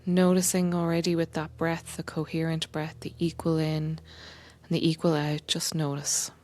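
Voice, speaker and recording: Female meditation guide: low-key, even delivery, very slow and gentle, breathy soft moments, music underneath. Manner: gentle, unhurried, often dropping to breathy whisper. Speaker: meditation guide and coach, podcast host.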